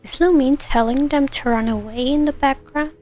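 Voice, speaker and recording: A woman streaming a video game, reacting casually and excitably to the story as she eggs the characters on. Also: high-pitched, normal speed, muffled recording. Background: game music and dialogue.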